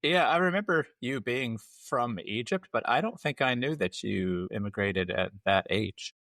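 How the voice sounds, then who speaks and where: A man hosting a podcast interview, asking earnest, measured questions. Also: mid-range voice, lively intonation, fairly bright tone, dry recording. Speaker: podcast host.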